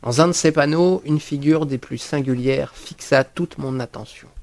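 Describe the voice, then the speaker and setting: A man reading a first-person horror story as an audiobook narrator, in a measured, deliberate and expressive literary style. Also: a little high, vocal fry, no room sound.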